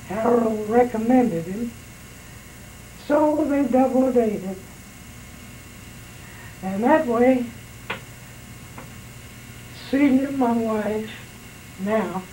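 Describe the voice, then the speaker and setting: A man in his sixties with a raspy voice left by throat surgery, slowly recounting memories in a home oral history interview. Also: very high voice, warm tone, slight background noise, low-quality recording. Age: 60s. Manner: slow, unhurried storytelling with pauses.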